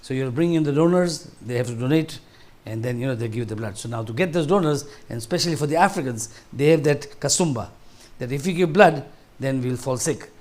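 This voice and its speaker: A man's voice: mid-range voice, brisk, hardly any pauses, darkish tone. Older man giving a rambling talk. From Tanzania. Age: 60s. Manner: conversational, rambling, with frequent restarts. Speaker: guest speaker. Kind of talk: informal talk to a seniors group. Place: large community hall.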